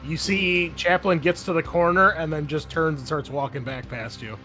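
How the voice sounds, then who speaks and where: A man's voice: a little high, fairly even intonation, normal speed, sharp tone. Man running a tabletop game over music. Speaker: game master. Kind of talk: narrating a tabletop roleplaying game. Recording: music playing behind the voice. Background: background music.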